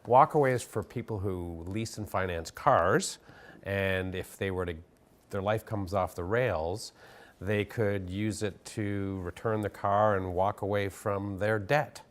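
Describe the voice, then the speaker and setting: A man giving brief, casual, deadpan answers with some hesitation as an interview guest. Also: fairly low pitch, measured pace. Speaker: interview guest, business partner.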